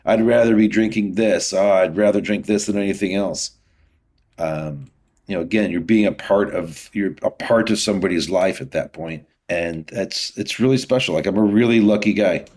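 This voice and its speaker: Man, middle-aged, lowish pitch, casual interview guest, easygoing, rambling explanations. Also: normal speed, darkish tone. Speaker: podcast guest, whiskey distiller.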